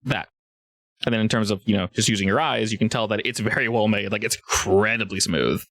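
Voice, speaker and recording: A man's voice: on the low side, brisk, a bit bright. A man improvising narration as game master of a tabletop roleplaying game, informal and joking with the players.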